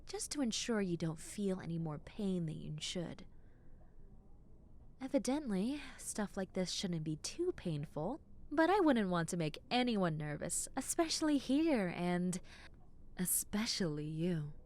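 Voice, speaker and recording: A young adult woman voicing a kind, caring dentist in an audio roleplay, speaking softly and reassuringly, often dropping into a whisper, with a playful, teasing edge. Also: fairly low pitch, big pitch swings, a little slow. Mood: soothing. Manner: soft, gentle and reassuring, slipping into whispers; playful, with frequent soft giggles. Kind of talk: ASMR roleplay.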